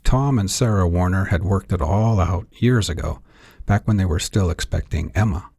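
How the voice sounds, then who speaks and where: A man with a husky voice reading his own fiction aloud as a scripted audiobook narration. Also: low voice, normal speed, a little mellow.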